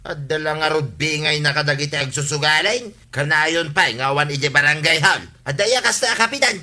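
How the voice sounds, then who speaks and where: A man's voice: fairly high pitch, a little fast, sharp tone. An actor playing an indignant, righteous villager in an Ilocano radio comedy, complaining insistently as he vows to report wrongdoing.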